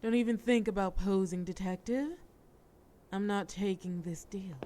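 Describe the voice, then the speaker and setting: A woman's voice: mid-range voice, normal speed, warm tone, natural voice. A woman playing a sly, flirtatious mafia boss in an audio roleplay, with a wry, teasing, formal way of talking. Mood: cool, defiant. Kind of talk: scripted audio roleplay acting.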